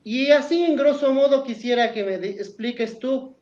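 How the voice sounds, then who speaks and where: An Ecuadorian man from Quito gives a lecture on law over a video call, earnest and explanatory, with the occasional restart. His voice sits at a middle pitch and carries a noticeable room echo. The sound is a bit dull and muffled. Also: moderate pace, a little mellow. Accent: Ecuadorian Spanish, Quito. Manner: earnest, explanatory, with frequent hesitations. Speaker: lawyer, conference speaker. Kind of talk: virtual conference lecture. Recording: echoey, somewhat dull video call audio.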